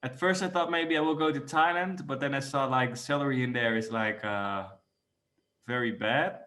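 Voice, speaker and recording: Bright-voiced Dutch man around thirty. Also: mid-range voice, moderate pace. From Netherlands. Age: 30s. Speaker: podcast guest. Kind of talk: casual chat.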